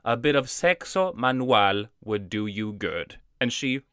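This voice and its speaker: A man co-hosts a casual podcast, retelling a movie scene by scene and acting out quoted lines. His voice is bright and forward. The sound is dry and close to the mic. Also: medium pitch, animated, unhurried, clean tone, no echo. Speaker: podcast co-host. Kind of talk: casual chat recapping a movie.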